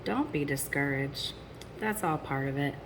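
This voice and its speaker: A woman's voice: low-pitched, lively intonation, unhurried, noisy background. A woman reading a picture book aloud to children expressively, addressing young listeners directly with chatty asides. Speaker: storybook reader.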